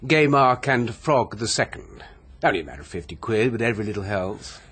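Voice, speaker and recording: An actor playing a shifty, money-hungry nephew with an English accent, breezy, casual and evasive in a radio drama. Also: male voice, on the low side, animated, a little fast, fairly bright tone, breathy edge, heavily acted. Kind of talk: radio mystery drama acting.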